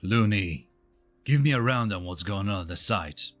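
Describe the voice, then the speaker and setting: A man's voice: fairly low pitch, animated, normal speed, soft background music, lightly acted. A man voicing a blunt, commanding male colonel in an amateur fan dub, brusque and impatient.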